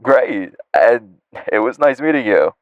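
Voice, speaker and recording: A man's voice: mid-range voice, animated, unhurried, muffled recording, background noise, close to an everyday voice. A man acting as a polite, hesitant, apologetic assistant making a business call in an audio roleplay. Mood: awkward, courteous.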